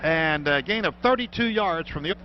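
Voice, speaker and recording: An American man calling football play-by-play on the radio in clipped running descriptions that get excited on big plays. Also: a little high, moderate pace, soft background music. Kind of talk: live American football commentary. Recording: dull, noisy radio broadcast from stadium.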